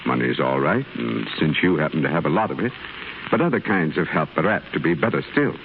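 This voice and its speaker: A man playing an older male stonecutter who talks to a younger man in a gentle, fatherly, measured way. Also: a bass voice, big pitch swings, exaggerated voice. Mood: earnest. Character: older male stonecutter, kindly earnest mentor. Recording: tinny noisy 1930s radio broadcast.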